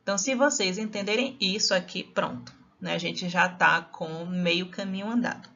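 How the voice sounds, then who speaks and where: A woman's voice: fairly low pitch, moderate pace, sharp tone, dull audio. A woman from Salvador with a Bahian accent, giving a recorded lecture in a conversational way with many tag questions. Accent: Bahian Brazilian Portuguese. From Salvador, Bahia, Brazil. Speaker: teacher.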